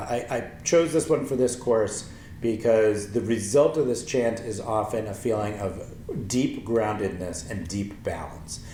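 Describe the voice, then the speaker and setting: A man in his forties with a low voice, teaching a yoga lesson slowly and gently with many pauses and ums. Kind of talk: calm spoken yoga lesson with chanting. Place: small wooden-floored room with paper screen, indoors. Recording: echoey room, faint ambient noise.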